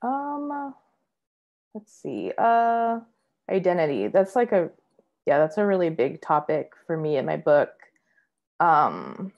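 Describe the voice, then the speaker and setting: A young woman talking on a video call from home as a comics creator guest, hesitant and full of fillers while she thinks aloud. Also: medium pitch. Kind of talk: casual panel conversation. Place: home room, indoors. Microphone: video call webcam.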